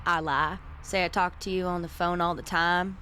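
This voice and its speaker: An actress playing a steady, guarded middle-aged mother and protective sister in a small town, in radio drama. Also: fairly low pitch, normal speed, a little hiss or hum. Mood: plainspoken. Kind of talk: naturalistic radio drama acting.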